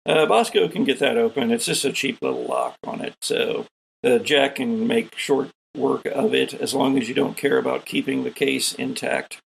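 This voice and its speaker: A man acting as game master, narrating an improvised tabletop game in a measured, halting explanatory way. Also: mid-range voice, animated, creaky, slight background noise, rough recording.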